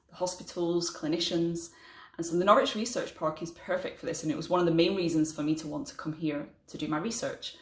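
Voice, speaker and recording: A woman, a chemistry research fellow, explaining her work in an earnest, steady talk to camera. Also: lowish voice, roomy sound.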